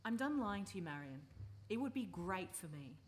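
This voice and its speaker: A woman playing a frank, persuasive confidante in a radio play, speaking in a direct, measured and probing way. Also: mid-range voice, big pitch swings, slight background noise, close to an everyday voice. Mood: frank, insistent.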